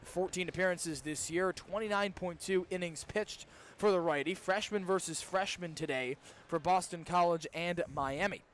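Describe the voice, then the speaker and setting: A man's voice: high-pitched, moderate pace, a little hiss or hum. A young man doing live radio baseball play-by-play, with descriptive calls that build on the action. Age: young adult. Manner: crisp, descriptive calls that swell into excitement on big plays. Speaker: student baseball play-by-play broadcaster. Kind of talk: live radio sports commentary. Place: broadcast position at an outdoor ballpark.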